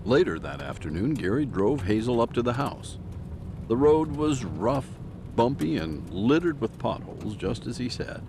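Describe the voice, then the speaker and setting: A man's voice: medium pitch, very animated, moderate pace, background noise. A man narrating a scripted audio drama as a dramatic storyteller.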